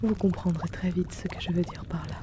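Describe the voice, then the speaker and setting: A woman voicing a timid, gentle male herbalist in an ASMR roleplay, speaking softly and soothingly. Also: fairly low pitch, fairly even intonation, noisy background, natural voice. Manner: soft-spoken, slow and soothing. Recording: rain and storm ambience, pouring liquid.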